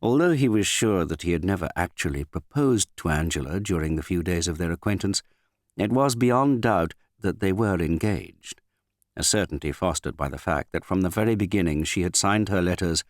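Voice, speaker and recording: A man narrating a literary novel as an audiobook reader, with a measured, understated delivery and finely modulated voice. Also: deep voice, animated, no room sound, studio quality.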